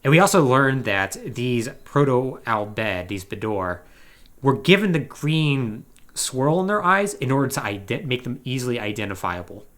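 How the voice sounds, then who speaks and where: An animated man hosts a gaming podcast, retelling a story in a casual recap style. His pitch moves around a lot as he talks, and he drops in wry, conversational asides. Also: normal speed. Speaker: podcast host. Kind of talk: casual plot recap commentary on a video game podcast.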